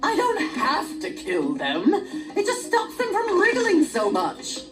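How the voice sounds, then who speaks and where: An actress voicing a cackling, ruthless sorceress who rants with theatrical glee. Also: female voice, high voice, huge pitch swings, unhurried, heavily acted. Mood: sneering. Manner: gleeful, theatrical villain ranting. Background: game music.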